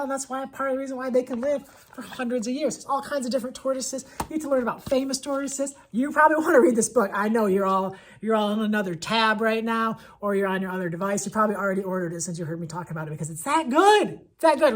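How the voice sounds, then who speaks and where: Husky-voiced, enthusiastic woman teacher. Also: medium pitch. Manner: enthusiastic, emphatic, repeats phrases for effect. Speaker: elementary school teacher reviewing picture books. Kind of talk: casual video book recommendations.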